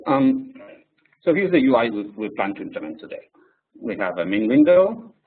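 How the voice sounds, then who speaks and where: A man with a French and Spanish accent, a non-native English speaker, presenting a software webinar in a hesitant way with many fillers. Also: mid-range voice, very animated, moderate pace, breathy edge. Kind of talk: live coding webinar. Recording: distant tinny phone-like audio.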